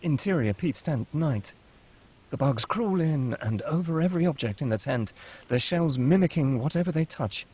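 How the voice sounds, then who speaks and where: A man's voice: a little high, lively intonation, moderate pace, mellow, breathy edge. A man reading stage directions as the narrator of a screenplay, in a dramatic scripted style.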